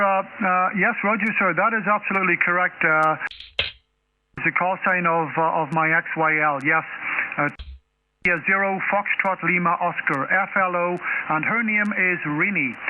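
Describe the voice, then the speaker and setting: A man talking politely in a ham radio contact, courteous and full of fillers, heard over a shortwave radio link. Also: high voice. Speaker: amateur radio operator. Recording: tinny noisy shortwave radio.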